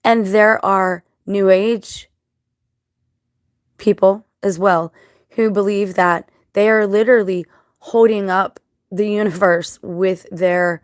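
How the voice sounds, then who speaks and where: A woman with a scratchy, dry-mouthed voice after crying, talking casually to viewers in a halting, reflective, earnest way. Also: mid-range voice, clean tone, dry recording. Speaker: vlogger.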